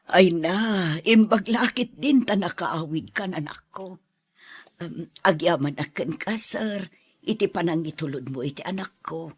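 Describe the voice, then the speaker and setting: Husky long-suffering mother, radio drama. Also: fairly low pitch, lively intonation, a little slow, no room sound. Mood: anxious, grateful. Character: caring, long-suffering mother of a grown daughter. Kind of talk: radio drama acting in dialogue.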